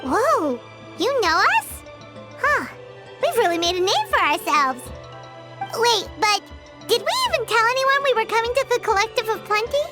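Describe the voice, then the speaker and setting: Tiny chatty fairy companion, extremely high squeaky childlike voice, animated, exclamatory, anime game, prominent music behind. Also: female voice, moderate pace. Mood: curious, cheerful. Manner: exclamatory, refers to self by name. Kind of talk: anime-style video game voice acting.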